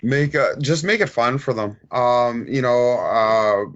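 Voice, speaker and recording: A man's voice: mid-range voice, slow pace, a bit bright, highs cut off. A middle-aged man, a father, chatting casually as an interview guest. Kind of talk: casual chat.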